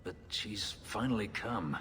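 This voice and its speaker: A man's voice: on the low side, animated, measured pace, sharp tone, faint music behind. An actor playing a brooding, guarded male elf who escaped slavery, intense and terse, in a video game. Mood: worried, guarded. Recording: faint background noise.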